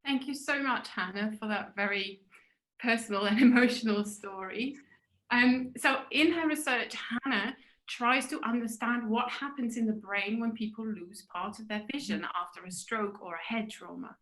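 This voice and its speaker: A woman hosting an online talk event, introducing speakers and asking questions in a warm, chatty way. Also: fairly high pitch, moderate pace, rough recording. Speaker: event host.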